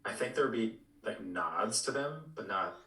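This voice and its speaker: Deep-voiced man in an echoey room. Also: lively intonation, pushed and bright, distant mic. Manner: halting, repeats words as he thinks. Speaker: podcast co-host. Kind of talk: casual chat, pitching ideas. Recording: roomy, echoey, away from the mic.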